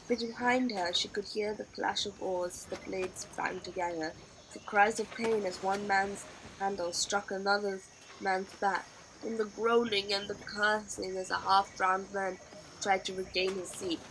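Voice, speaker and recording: A woman's voice: medium pitch, noisy background. A woman narrating a children's novel expressively, as a storybook reader.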